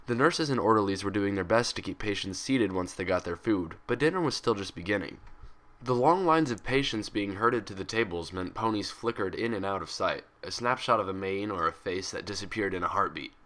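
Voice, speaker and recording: Male audiobook-style narrator, low pitch, sharp bright tone, expressive scripted delivery. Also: brisk. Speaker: fan fiction reader.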